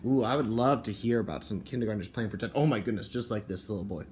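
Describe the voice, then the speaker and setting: A man speaking as a kindergarten teacher, reading and explaining to young children in an expressive, encouraging way with simple phrasing. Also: fairly low pitch, quick pace, a little hiss or hum. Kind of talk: picture book read-aloud and talk to children and parents.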